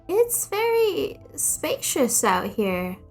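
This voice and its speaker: A woman voicing a timid, gentle young shadow monster boy, with a nervous, hesitant, apologetic manner, in a storybook reading. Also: high-pitched, wildly swooping pitch, extremely slow, soft background music, voice pushed up, exaggerated voice. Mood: timid wonder.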